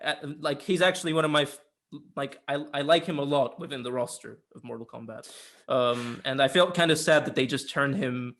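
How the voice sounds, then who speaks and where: A man's voice: medium pitch, brisk, fairly bright tone. A man giving a casual, opinionated film review in informal, sweary conversation. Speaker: film reviewer on a two-person show.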